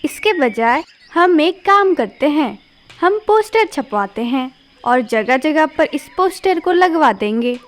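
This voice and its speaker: Woman doing a smart little cartoon sparrow girl, high voice, earnest and polite. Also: lively intonation, a little hiss or hum. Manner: earnest, polite, quick-thinking. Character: smart young female sparrow.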